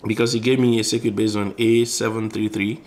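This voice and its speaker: A man with a fairly low voice presents an informal hands-on tutorial. He explains things conversationally, as a presenter would while demonstrating, and often rewords his phrases along the way. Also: flat delivery, fairly quick, a little mellow. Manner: explanatory, conversational, frequently rephrasing.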